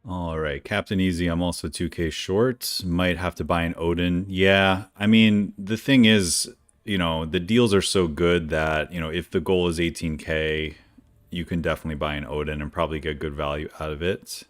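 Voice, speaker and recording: A man streaming a game live, chatting casually with viewers, with a smooth radio-like voice and a slightly stuffy nose from allergies. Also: sits low, normal speed. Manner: relaxed, chatty, greets viewers by name. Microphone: decent studio microphone.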